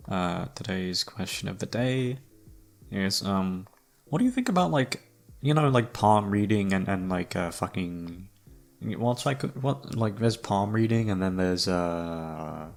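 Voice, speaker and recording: A man chatting casually and unscripted to his listeners as an audio creator, hesitant, rambling and self-deprecating. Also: lowish voice. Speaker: audio roleplay creator and host. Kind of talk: casual unscripted outro chat.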